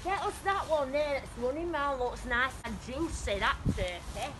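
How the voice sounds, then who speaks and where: A woman's voice: high voice, moderate pace, fairly bright tone, a little hiss or hum. A woman playing a bossy, gleeful ugly stepsister in a school pantomime, with a broad put-on accent. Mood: scheming. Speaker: school senior leader in a pantomime.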